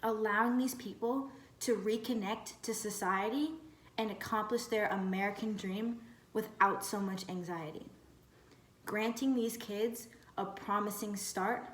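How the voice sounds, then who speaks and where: A woman reciting a prepared slam poem in a measured, rhythmic delivery, with phrase endings trailing off. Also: medium pitch, fairly even intonation. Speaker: spoken word poet. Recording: roomy, distant mic with faint noise.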